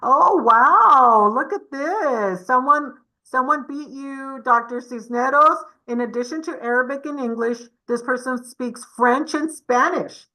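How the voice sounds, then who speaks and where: A woman's voice: high-pitched, measured pace, hardly any pauses, sharp tone, poor audio. A woman giving a community webinar presentation over a video call, encouraging and addressing the audience with questions.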